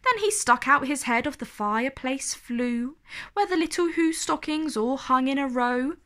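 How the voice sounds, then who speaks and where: A woman reading a children's story aloud in an expressive, theatrical way, with dramatic pauses and emphasis. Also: sits high.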